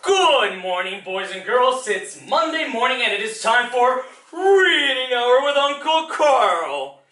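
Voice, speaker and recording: A man's voice: very high voice, very animated, slow pace, sharp tone, voice pushed up, exaggerated voice. A man playing a flamboyant, chirpy children's librarian with a defiant streak, talking sing-song to kids in a theatrical stage monologue. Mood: chirpy, encouraging. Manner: sing-song, exaggeratedly cheery, addressing small children. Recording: distant mic, roomy echo, faint noise.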